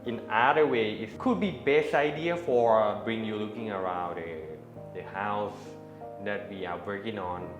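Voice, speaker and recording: A man's voice: fairly low pitch, unhurried, roomy sound, music in the background, low-quality recording. A man with a Thai accent, a native Thai speaker co-hosting a casual house tour and searching for English words as he talks. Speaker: co-host of a house tour channel.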